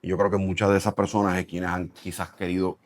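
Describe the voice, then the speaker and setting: A man's voice: low voice, little pitch movement, fast talker, no room sound. A middle-aged Puerto Rican man with a Puerto Rican Spanish accent, a developer answering interview questions in a thoughtful way, with many fillers and restarts. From Puerto Rico. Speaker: real estate developer, company president.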